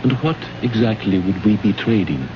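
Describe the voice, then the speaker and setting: Low-voiced, cryptic alien man played by an actor. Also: lively intonation, lightly acted. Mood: guarded. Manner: measured, deliberate, grave. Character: calm, cryptic alien man guarding his world. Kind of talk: old-time radio drama acting. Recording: noisy, muffled old radio recording.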